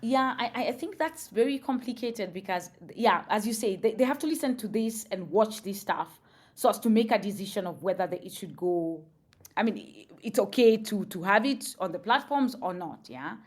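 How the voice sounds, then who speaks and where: A woman's voice: mid-range voice, animated, normal speed. A woman, a guest on a podcast interview, explaining thoughtfully with examples and frequent fillers. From Kenya.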